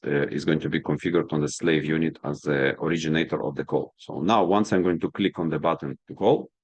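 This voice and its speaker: A man's voice: a bass voice, no echo. A man presenting a product demonstration as a trainer, explaining each step in an instructional way. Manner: step-by-step, instructional, checking understanding.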